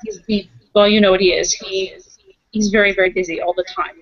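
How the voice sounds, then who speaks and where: A woman improvising roleplay conversation, thinking aloud haltingly and often repeating her phrases. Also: medium pitch, steady tone, husky, no echo, lo-fi sound. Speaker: tabletop roleplaying player and game runner.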